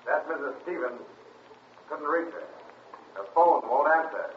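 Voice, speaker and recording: An actor in a 1940s radio play voicing a police officer who gives a flat, businesslike report. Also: male voice, on the high side, unhurried, echoey room, muffled recording, close to an everyday voice. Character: matter-of-fact male police officer reporting. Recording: distant, noisy old radio broadcast.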